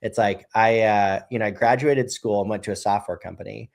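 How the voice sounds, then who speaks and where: A man's voice: fairly low pitch, fairly even intonation, brisk, gravelly, darkish tone. A man in his thirties, a brewery co-founder and podcast guest, telling a loose, casual story full of "you know" and "right?".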